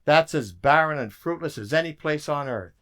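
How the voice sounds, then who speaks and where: An actor playing a weary, dry-witted government codebreaker in an emphatic radio drama style. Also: male voice, on the high side, lively intonation, normal speed, a bit bright. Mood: wry, reflective.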